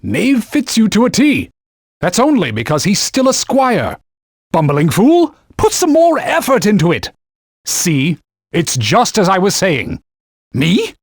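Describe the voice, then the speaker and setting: A man's voice: high voice, no echo, studio quality, heavily acted. An actor voicing a stern, haughty talking sword in a video game, giving combat orders and scolding his partner as a fool. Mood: scornful. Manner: commanding, gives combat tips.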